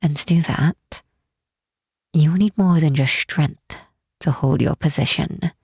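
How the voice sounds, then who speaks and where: A woman voicing a regal, doting demon queen mother in an ASMR roleplay, with soft, measured, formal speech. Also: sits low, no echo, studio quality, full character voice. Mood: solemn, instructive. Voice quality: soft, breathy. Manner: measured, formal, tender, unhurried.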